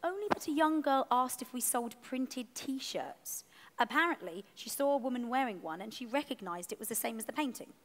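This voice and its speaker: A woman's voice: fairly high pitch, lively intonation, moderate pace, fairly bright tone. A woman playing a fussy, defensive male gallery curator in a dramatised story reading, explaining things at length. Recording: echoey hall, picked up in the room.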